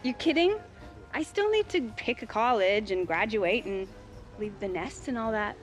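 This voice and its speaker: A woman's voice: medium pitch, expressive, normal speed, faint music behind, close to an everyday voice. A woman playing a sixteen-year-old footballer, a teasing but caring little sister, casual in a game cutscene. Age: teens. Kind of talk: naturalistic game cutscene acting.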